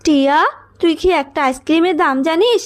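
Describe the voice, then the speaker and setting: A woman's voice: very high-pitched, fairly quick. A woman voicing a doting, fretful animal mother in a children's fable cartoon. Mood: exasperated.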